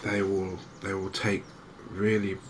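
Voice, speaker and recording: A soft-spoken man with an English accent giving unhurried, hesitant ASMR commentary over a video game. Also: sits low, monotone, noisy background. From England. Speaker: gaming video host.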